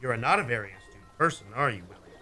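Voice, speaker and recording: An actor playing a cold, quiet killer who taunts his victim in a community radio play. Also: mid-range voice, lively intonation, fast, soft background music. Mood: calm menace. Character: cold, sly male killer, calm and menacing. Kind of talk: amateur radio drama acting.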